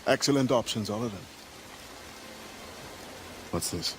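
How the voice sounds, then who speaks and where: Low-voiced, stoic warrior. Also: male voice, lively intonation, a bit bright, slight background noise. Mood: dryly approving. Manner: formal, deliberate, restrained. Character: honor-bound, stoic male warrior assassin, formerly enslaved. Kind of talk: dramatic video game acting.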